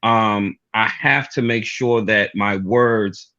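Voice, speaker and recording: A man in his forties with a Baltimore accent, explaining things haltingly with many ums in casual chat. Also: lowish voice, bright, forceful tone, highs cut off. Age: 40s. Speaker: guest, toy maker.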